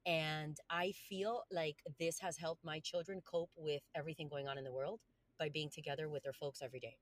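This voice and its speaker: Low-voiced woman, encouraging homeschool guest. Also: fairly even intonation, brisk, no echo. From Colombia. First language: Spanish. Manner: earnest, encouraging, emphatic. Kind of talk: podcast interview.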